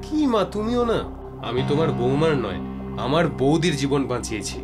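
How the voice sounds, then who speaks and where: A bold young police inspector speaks in a fairly high voice with commanding swagger. He sounds protective and cocky, like a crime cartoon hero, with music clearly playing behind him. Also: big pitch swings, normal speed. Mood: reassuring, teasing. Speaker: voice actor. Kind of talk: dubbed animated crime story dialogue.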